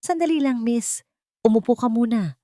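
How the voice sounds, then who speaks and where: A woman's voice: fairly low pitch, lively intonation, moderate pace, mellow, no echo, studio quality, natural voice. A woman voicing a polite, nervous male police officer who speaks with deference in a narrated drama story. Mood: respectful, alert. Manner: polite, respectful.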